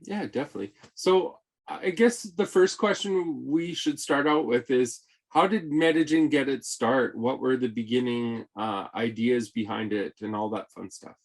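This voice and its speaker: A man's voice: mid-range voice, normal speed. A man hosting an online interview, asking questions in a friendly, upbeat way. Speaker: interview host.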